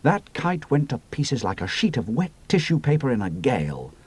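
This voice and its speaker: A man's voice: mid-range voice, wildly swooping pitch, moderate pace, a little mellow, breathy edge. A man voicing a breezy young male pilot who speaks emphatically. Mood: emphatic. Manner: emphatic, jaunty.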